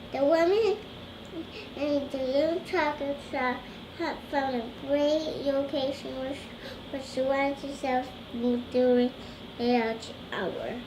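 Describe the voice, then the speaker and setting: Young girl, high voice, halting storybook read-aloud, stumbles and repeats, echoey noisy room. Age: child. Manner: hesitant, stumbling over words, repeating phrases. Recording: roomy echo with clear background noise.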